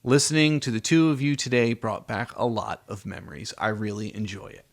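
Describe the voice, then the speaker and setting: A man's voice: fairly low pitch, expressive, a little fast. A man hosting a podcast in a casual, conversational style. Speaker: podcast host.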